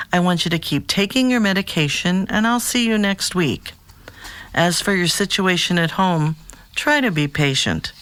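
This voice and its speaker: A woman playing a calm, professional therapist who speaks in a measured, practical way in an audio drama. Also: on the low side, no room sound.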